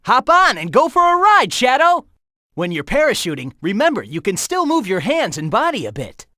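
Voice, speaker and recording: Cocky young hedgehog hero, video game, breezy and encouraging, pitch swinging wildly, dry studio sound. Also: male voice, huge pitch swings, normal speed, bright, forceful tone, no echo, full character voice. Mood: upbeat. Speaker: in-game guide giving hints.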